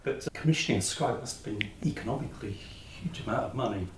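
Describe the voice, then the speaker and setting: A man's voice: fairly low pitch, animated, fast talker. In a roomy, echoing space with faint noise, a man muses aloud. He is a podcast interviewer, feeling his way through conversational questions with some hesitation. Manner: hesitant, musing. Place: indoors at a library, away from studio. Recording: roomy, echoing, noisy location recording.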